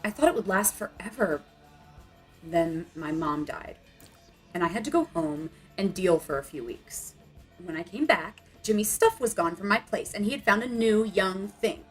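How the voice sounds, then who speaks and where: A woman's voice: mid-range voice, animated, normal speed, faint music behind, natural voice. A woman playing a dramatic, wounded young bohemian punk singer in a motion comic. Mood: wistful, confessional.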